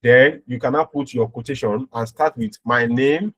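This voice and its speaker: A man's voice: mid-range voice, moderate pace. A man giving an informal step-by-step programming tutorial as an instructor, often checking in with short tag words as he explains.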